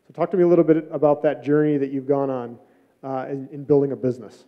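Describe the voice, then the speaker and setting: A man's voice: medium pitch, flat delivery, quick pace, muffled recording. A middle-aged man hosting a live panel, introducing guests and putting questions to them. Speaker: panel host, startup mentor. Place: stage of an auditorium, indoors. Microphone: handheld microphone.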